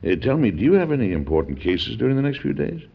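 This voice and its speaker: An actor playing a resolute, wily American president in a classic radio drama, stately and deliberate but good-humored. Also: male voice, medium pitch, very animated, vocal fry, breathy edge, exaggerated voice. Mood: jovial, relaxed. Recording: muffled old radio broadcast recording.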